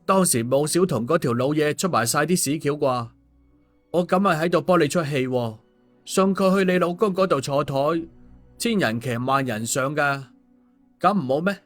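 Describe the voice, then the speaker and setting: A man's voice: fairly high pitch, lively intonation, moderate pace, natural voice. Man acting glib streetwise guy, coaxing. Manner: colloquial, coaxing. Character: glib, streetwise man placating an angry woman. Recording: music under the voice.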